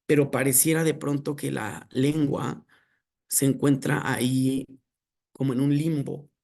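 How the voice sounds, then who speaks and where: Man giving a measured, gravelly lecture. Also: medium pitch, little pitch movement, a little mellow. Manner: measured, reflective, with frequent filler pauses. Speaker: speaker at an academic colloquium. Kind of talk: academic lecture.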